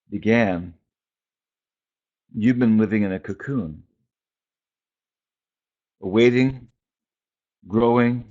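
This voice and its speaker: A man giving an informal, reflective sermon in short, halting phrases with long pauses between them. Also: fairly low pitch, a little mellow. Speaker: preacher and spiritual teacher. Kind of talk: informal prophetic sermon on a conference call. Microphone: phone conference call. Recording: dull, band-limited conference call audio.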